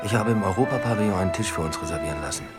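A man's voice: low-pitched, lively intonation, rapid-fire. A young male police inspector in a period radio drama. He is guarded and understated, answering in short, sober phrases, with music behind him. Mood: wry, polite. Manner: terse, understated. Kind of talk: naturalistic radio drama acting.

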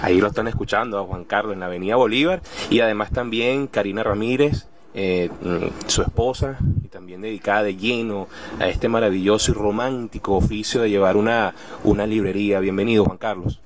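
A man's voice: on the low side, a little fast. A man with a Venezuelan accent speaking as a radio host in a conversational interview.

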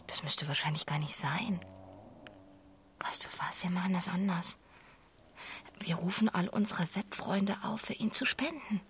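An actress playing a middle-aged wife, an urgent, persuasive and self-righteous idealist, in a naturalistic radio drama. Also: female voice, on the low side, a bit bright, slight background noise. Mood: eager, persuasive. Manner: insistent, gushing, halting repeats for emphasis.

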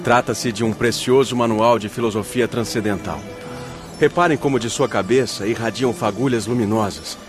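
A man's voice: medium pitch, normal speed, close to an everyday voice. Actor as a composed male spirit instructor, faint music. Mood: solemn explaining. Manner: formal, instructive. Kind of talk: radio drama acting.

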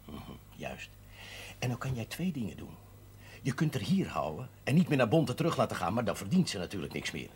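Levendige, vriendelijke katholieke priester. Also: male voice, on the low side, big pitch swings, fairly quick, husky, heavily acted. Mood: calm, persuasive. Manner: informal, reasoning, gently wry. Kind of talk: naturalistic 1970s television drama acting. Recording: audio of 1970s television broadcast, music.

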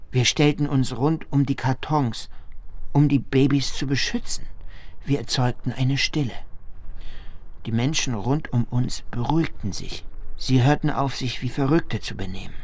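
A man acting in a radio drama as a shaken disaster survivor, giving a stark first-person monologue. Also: mid-range voice. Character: shaken male survivor of a flood disaster, recounting it.

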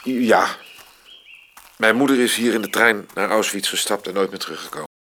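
An actor playing a pensive middle-aged astronomer in a radio drama. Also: male voice, lowish voice, normal speed, sharp tone. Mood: grave, matter-of-fact.

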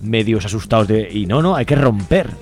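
A man's voice: fairly low pitch, expressive, brisk, soft background music. A man hosting a radio interview, asking long conversational questions. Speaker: radio host.

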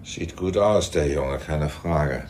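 An actor in a German radio drama playing a shrewd, commanding intelligence chief who speaks in a measured, dryly ironic way. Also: male voice, extremely low pitch, a little mellow, a little hiss or hum.

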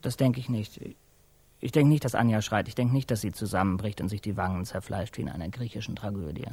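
A solemn, reverent speaker in a radio play. He talks in measured, emphatic phrases that often drop toward a hush, in a close, dry studio sound. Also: on the low side, slightly rough, dry recording. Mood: insistent. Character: solemn, reverent speaker musing on sacred life. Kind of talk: German radio drama dialogue.

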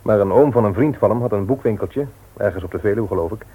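An actor playing a polite younger bookshop nephew who reasons with quiet insistence in a Dutch radio play. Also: male voice, fairly low pitch, fast, breathy edge. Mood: reasonable, explaining.

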